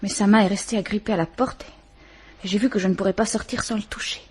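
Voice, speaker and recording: An actress playing a distraught woman questioned by a police inspector, speaking haltingly and often near a whisper, in a vintage French radio drama. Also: medium pitch, fairly even intonation, darkish tone, close to an everyday voice. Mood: shaken. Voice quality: breathy, trembling. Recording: old radio play recording.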